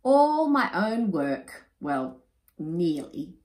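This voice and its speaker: A woman's voice: big pitch swings, measured pace. A woman voicing a pompous, lazy male emu father in a storybook reading, blustering and spluttering. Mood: proud. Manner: blustering, high-pitched spluttering when upset. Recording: roomy echo.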